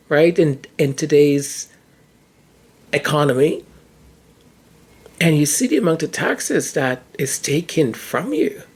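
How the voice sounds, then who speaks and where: Hoarse, chatty podcast host. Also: mid-range voice, darkish tone. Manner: chatty, restarts and repeats words mid-thought. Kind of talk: casual explanatory monologue.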